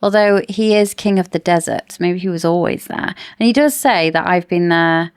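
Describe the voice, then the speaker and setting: A woman's voice: fairly low pitch, normal speed, clean tone, no echo, clean studio sound. A woman co-hosting a podcast, chatting casually and informally about books. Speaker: podcast co-host. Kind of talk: casual book discussion chat.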